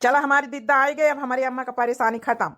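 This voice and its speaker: A woman's voice: high-pitched, steady tone, quick pace, fairly bright tone. A woman voicing a doting, reassuring young village groom in a cartoon, coaxing and repetitive, with an Awadhi accent. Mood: cheerful. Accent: Awadhi, rural north India. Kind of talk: cartoon comedy dialogue.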